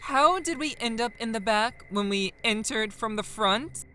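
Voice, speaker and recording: A woman's voice: a little high, a little slow, sharp tone, clean tone, soft background music. An actress playing an uneasy but sensible young woman in an audio horror drama, with a wry, anxious manner. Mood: freaked out.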